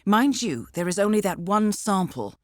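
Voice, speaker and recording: Actress as female mission handler, composed, wry, clipped, expressive pitch movement, dry studio sound, scripted game briefing. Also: normal speed, no echo, close to an everyday voice. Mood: cool, urgent. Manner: composed, clipped, wryly understated. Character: poised, dry-witted female intelligence handler guiding an assassin.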